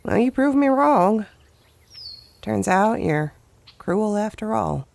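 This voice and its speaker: An actress playing a wry, bruised but tender, plain-spoken woman in an audio drama, with a halting way of talking. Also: medium pitch, animated, creaky, darkish tone, no room sound. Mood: hurt, wry.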